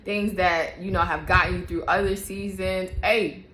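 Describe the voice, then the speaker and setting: A woman's voice: fairly low pitch, fairly even intonation, normal speed, a bit bright, roomy sound, a little hiss or hum, rough recording. A woman hosting her own faith-based mom podcast, talking casually to camera, chatty and often laughing, repeating herself for emphasis. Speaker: podcast host and mother.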